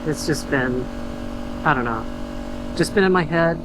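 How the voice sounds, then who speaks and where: Young guy rambling thoughts out loud while he drives, lots of likes and restarts, car and traffic noise all under it. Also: on the high side, unhurried. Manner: rambling, hesitant, full of fillers and restarts; quiet, reflective. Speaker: vlogger. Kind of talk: casual venting while driving. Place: in a car on the road. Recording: road and engine noise behind.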